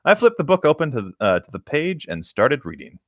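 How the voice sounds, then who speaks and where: A young man with a hugely varied, animated pitch, reading aloud and chatting in a casual way. The recording is close and dry. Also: brisk, no echo, dull audio. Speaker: co-host in group reading. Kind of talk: casual read-aloud and chat.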